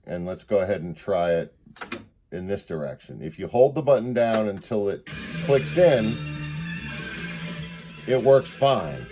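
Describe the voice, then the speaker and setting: A man's voice: fairly low pitch, animated, measured pace, long pauses, warm tone. A man giving a casual hands-on product demonstration, explaining step by step and thinking aloud. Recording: music playing in the background.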